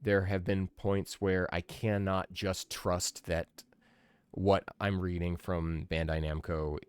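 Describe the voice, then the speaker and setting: A man's voice: low-pitched, level tone, slow pace, darkish tone, clear, resonant tone, no echo. A man in his thirties giving casual, rambling, opinionated commentary as he streams a video game. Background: video game music and sound.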